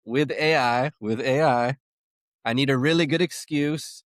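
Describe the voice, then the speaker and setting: A man co-hosting a podcast, reacting quickly and swearing casually. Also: mid-range voice, dry recording, clean studio sound. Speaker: podcast co-host. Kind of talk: casual chat.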